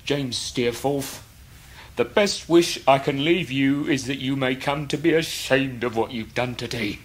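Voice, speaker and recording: An actor playing a poor English schoolteacher with wounded dignity and a husky voice in a period radio drama. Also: male voice, mid-range voice, a little slow. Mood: quiet reproach.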